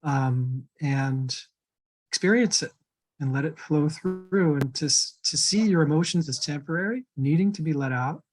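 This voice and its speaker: Calm man leading a wellness workshop. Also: fairly high pitch, normal speed, low-quality recording. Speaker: workshop instructor. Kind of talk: online workshop teaching.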